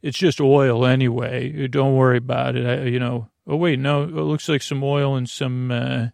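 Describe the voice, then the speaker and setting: A man hosting a bedtime podcast in a meandering, halting, whimsical monologue with a gravelly, creaky voice. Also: mid-range voice, level tone, darkish tone, no room sound. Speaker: podcast host.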